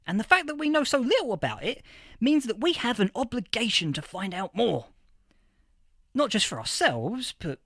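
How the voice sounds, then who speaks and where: A man playing an eager, flustered history professor in a fiction podcast interview, stammering and repeating words excitedly. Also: fairly high pitch, dry recording, studio quality. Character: eager, flustered male history professor of a far-future age. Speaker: interviewed academic expert.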